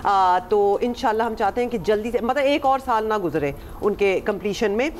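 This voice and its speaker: A woman's voice: mid-range voice, lively intonation, normal speed, slight background noise. A woman with a sore throat, co-hosting a morning talk show in casual chat and switching into English often. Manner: chatty, mixes English into Urdu.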